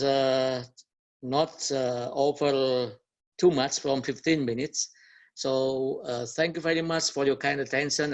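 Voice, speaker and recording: A man with an Indonesian accent giving an academic webinar presentation as a researcher, speaking haltingly and repeating words. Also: mid-range voice.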